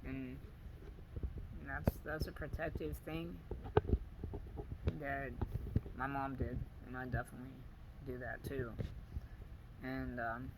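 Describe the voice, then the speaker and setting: A man talks quietly to his viewers in a weak, soft voice, stopping and starting as he gropes for words. His pitch sits in an ordinary middle range, and a steady hum of background noise runs under the recording. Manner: halting, searching for words, many pauses and fillers. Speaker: vlogger. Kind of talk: personal video diary.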